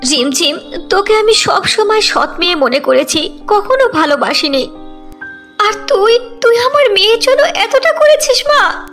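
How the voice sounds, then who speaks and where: A woman voicing an emotional, sharp-tongued stepmother in a cartoon fairy tale, with dramatic delivery. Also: very high-pitched, very animated, unhurried, soft background music, heavily acted. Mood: tearful remorse.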